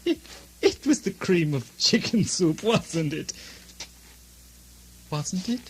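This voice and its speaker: A man's voice: high voice, animated, slow. An actor playing a guilt-haunted, blustering Scottish king in a comic radio drama, theatrical and stammering, with hysterical outbursts. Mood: crazed, indignant. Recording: noisy vintage radio recording.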